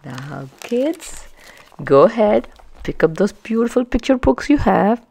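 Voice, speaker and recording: A woman narrating a children's story aloud, with expressive, emphatic phrasing for young listeners. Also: medium pitch, measured pace, gravelly.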